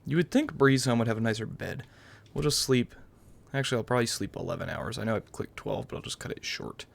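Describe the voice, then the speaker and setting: Gravelly young American man gaming. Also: mid-range voice, lively intonation, fast. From New York, United States. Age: young adult. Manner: rambling, self-qualifying. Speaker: gaming channel host. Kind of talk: casual let's-play commentary.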